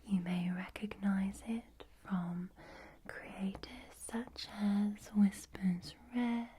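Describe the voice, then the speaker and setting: A woman with a Southern English accent who whispers softly, slowly and gently, with careful articulation, as an ASMR creator. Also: mid-range voice, a little hiss or hum. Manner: soft, slow, gentle, well articulated whisper.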